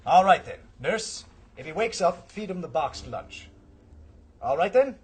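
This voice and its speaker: A man voicing a pompous, self-important, negligent doctor in a brisk cartoon performance. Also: high-pitched, very animated, breathy edge, exaggerated voice. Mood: brisk, bossy. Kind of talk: cartoon voice acting.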